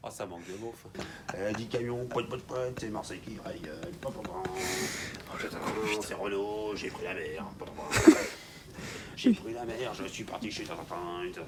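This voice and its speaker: A young man vlogging from a moving car with a broken window, trading loud, laughing banter and complaining that he is worn out from the long drive. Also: fairly low pitch, sing-song, moderate pace, vocal fry. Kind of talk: joking road-trip banter. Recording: loud road and wind noise.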